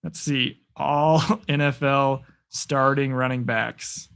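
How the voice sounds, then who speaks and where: A young man calling play-by-play for a live stream, excitable and chatty, answering chat, his voice getting worn. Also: mid-range voice, slow, creaky, clean tone. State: losing his voice. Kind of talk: casual live play-by-play commentary.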